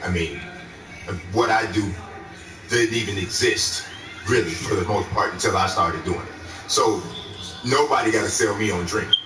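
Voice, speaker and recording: A man's voice: on the low side, normal speed, long pauses. A man who writes about sports video games, chatting casually and hedging with frequent "I mean". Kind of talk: casual chat. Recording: echoey, distant mic with music behind.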